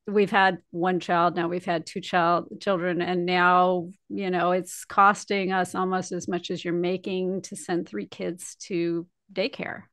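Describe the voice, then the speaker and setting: A woman with a slightly low, gravelly voice full of vocal fry hosts a conversational interview. The sound is dry, with no room echo, as she talks things through as a podcast host. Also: little pitch movement, unhurried, hardly any pauses, fairly bright tone.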